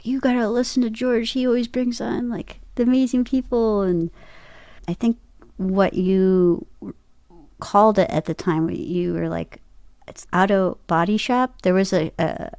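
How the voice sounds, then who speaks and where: A woman chatting casually as an interview guest, telling stories in an animated way and acting out her own reactions. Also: medium pitch, a little slow, no echo. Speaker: guest, voice actor and podcaster.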